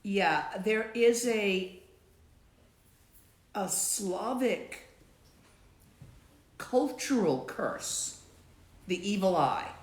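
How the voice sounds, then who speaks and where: A woman teaching meditation in a slow, measured voice. Her words carry a clear room echo around them. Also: mid-range voice, lively intonation. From Latvian background. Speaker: Dzogchen teacher. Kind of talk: answering a student's question.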